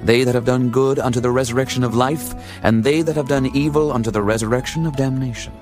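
An actor playing Jesus as a calm, authoritative male teacher, speaking solemnly in a dramatized scripture reading. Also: mid-range voice, fast, lightly acted. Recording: dull lo-fi audio with music.

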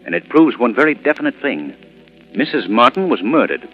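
An actor playing an assured, suave amateur sleuth in old radio drama style. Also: male voice, mid-range voice, big pitch swings, brisk, soft background music, lightly acted. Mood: assured, grave. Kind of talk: 1940s radio acting. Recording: muffled old radio recording.

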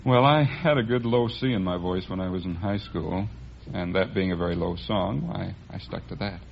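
A man's voice: sits low, normal speed, vocal fry. A man of about thirty with an American accent, telling stories conversationally as a quiz contestant. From Los Angeles. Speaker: quiz contestant, amateur singer. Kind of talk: conversational storytelling. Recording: muffled, noisy 1950s radio recording.

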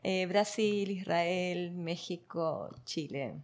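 A woman's voice: lowish voice, slow pace. A woman from Argentina, a guest in an interview, speaking reflectively with many fillers and light laughs. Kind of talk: conversational interview.

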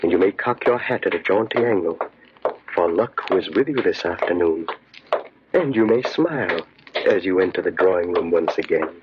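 A man playing a sinister inner voice that tempts and commands with slow, insistent urging, in 1940s radio horror style. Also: deep voice, animated. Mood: urging, smug. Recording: muffled, crackly old radio recording.

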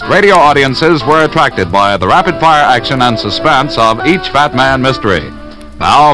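A man's voice: medium pitch, rough recording. An American man reading a scripted promo in the style of a 1940s radio announcer. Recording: vintage radio broadcast with music. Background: music.

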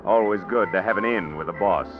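A man's voice: sits low, brisk, lightly acted. A man playing a bitter, needling junior business partner in 1940s radio drama style. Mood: sarcastic. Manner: sarcastic, needling. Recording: dull old radio broadcast with music.

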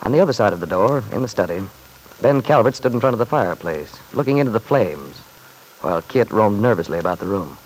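Low-voiced male radio serial narrator. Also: expressive, fast, slight background noise, rough recording. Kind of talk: scripted dramatic narration, classic radio soap opera.

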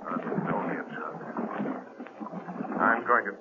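An actor playing a stern, commanding invisible crime-fighter with a grave, authoritative, dramatic manner, in 1930s radio style. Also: male voice, lowish voice, a little slow, natural voice. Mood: grave, resigned. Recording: noisy, tinny 1930s radio recording.

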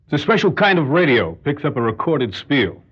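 An actor in an old radio drama playing a secretive schemer who speaks tersely and gives brisk orders. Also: male voice, medium pitch, very animated, a bit bright, husky. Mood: brisk, directing. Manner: terse, commanding. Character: brisk, secretive, scheming man called Doc. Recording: muffled old radio recording.

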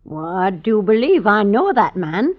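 A woman's voice: medium pitch, sing-song, a little slow. An actress playing a simple, confused village neighbour woman with quaint rustic phrasing in an old radio drama. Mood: bewildered, pitying. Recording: muffled vintage radio recording.

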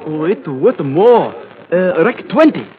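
A tinny old radio recording with music. a squat, sly Japanese barkeep, high-voiced and swooping in pitch. He wheedles in halting, accented broken English, always after a little more money. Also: big pitch swings, heavily acted. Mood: wheedling. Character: grinning, wheedling, greedy squat Japanese male bartender. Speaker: actor in a radio drama. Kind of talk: old-time radio drama acting.

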